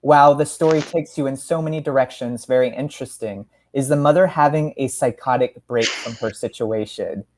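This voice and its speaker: A woman's voice: very deep voice, normal speed, few pauses, darkish tone, a little hiss or hum. Female podcast host, informal book talk, hesitant delivery with repeated words. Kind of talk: casual literary discussion.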